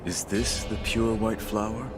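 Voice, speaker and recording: A stoic game warrior, voiced by a man, very low and terse. He speaks with restraint over clearly audible music. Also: little pitch movement, unhurried, lightly acted. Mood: stoic, questioning. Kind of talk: video game cutscene acting.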